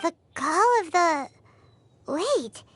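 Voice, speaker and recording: A woman voicing a small, excitable, childlike fairy companion in a video game, full of curious questions and exclamations. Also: drawn-out words, a little hiss or hum, exaggerated voice. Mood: puzzled. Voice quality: squeaky, childlike. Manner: exclamatory, questioning, talks about self in third person.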